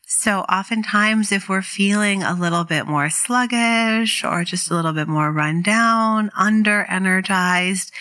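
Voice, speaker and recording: A woman teaching guided meditation in a gentle, encouraging, unhurried way. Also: mid-range voice. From New England, living there. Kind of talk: guided meditation instruction to an online community.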